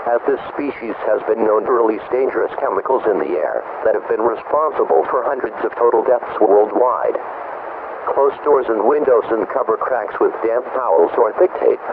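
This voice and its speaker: A man's voice: medium pitch, natural voice. A man playing a sober county emergency alert announcer, reading formal warnings in an official, impersonal manner over a broadcast. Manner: official, impersonal, urgent wording. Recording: tinny, noisy emergency radio broadcast.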